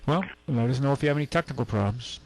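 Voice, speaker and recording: A man's voice: mid-range voice, racing, darkish tone, no echo, poor audio. An older man hosting a call-in radio talk show, rambling and folksy with many restarts. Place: radio studio.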